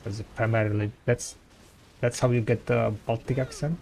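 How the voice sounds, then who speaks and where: A man whose first language is Russian, with a light Russian accent, chatting with viewers as a game streamer and trailing off in halting restarts. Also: mid-range voice, steady tone, vocal fry, darkish tone, slight background noise. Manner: rambling, halting, many restarts. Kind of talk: casual chat with viewers.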